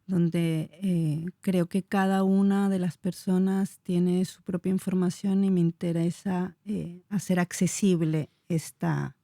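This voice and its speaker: A woman's voice: fairly low pitch, steady tone, slow, hardly any pauses, mellow, no echo, studio quality. A woman in her forties from Chile, a dance teacher answering interview questions in a searching, hesitant way with many fillers. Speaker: dance teacher and movement researcher.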